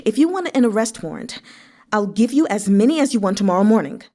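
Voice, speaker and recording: A woman's voice: mid-range voice, expressive, fast talker, dark tone. A woman voicing a menacing male vice commander of the guard, curt and commanding, in a story reading. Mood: curt defiance.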